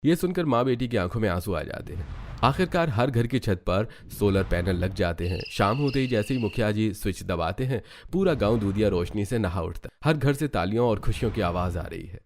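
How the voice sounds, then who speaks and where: A man's voice: on the low side, animated, a little fast. A man reading scripted narration for a cartoon story.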